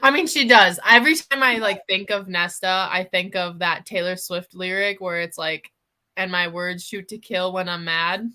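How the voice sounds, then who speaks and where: Bright-voiced woman chatting casually about books. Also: fairly low pitch, normal speed. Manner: frequent "like", giggly, opinionated. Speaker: book discussion co-host. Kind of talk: casual excited book chat. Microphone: livestream video call.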